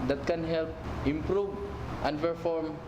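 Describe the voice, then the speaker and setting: A slightly high-voiced man gives a formal, thankful testimonial. The room is echoey, and a noisy ambience stays underneath him. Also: fairly even intonation, unhurried, dull audio. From Philippines, Cebu. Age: 30s. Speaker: company employee. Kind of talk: interview testimonial. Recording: roomy with background noise.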